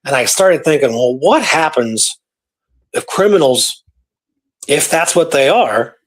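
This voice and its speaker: A man's voice: on the high side, animated, unhurried, rough recording. A man co-hosting a show, talking casually through history and voicing imagined thoughts as he tells it. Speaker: radio show co-host.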